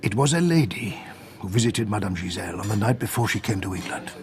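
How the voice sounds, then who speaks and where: An actor playing a middle-aged police inspector in a radio drama, speaking in an official, measured, thoughtful way. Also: male voice, on the low side, lively intonation, natural voice.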